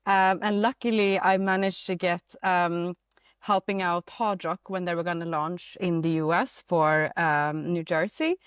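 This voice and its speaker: A woman's voice: lowish voice, steady tone, unhurried, clear, resonant tone, no room sound, studio quality. A woman in her thirties who grew up in Sweden, a guest talking in a casual interview, chatty with many fillers and easy laughter. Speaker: marketing director, guest.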